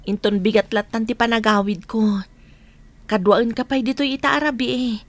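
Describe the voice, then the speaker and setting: An actress playing a caring, gently coaxing village woman in a radio drama. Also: fairly high pitch, fairly even intonation, moderate pace, close to an everyday voice. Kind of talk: radio drama acting in Ilocano.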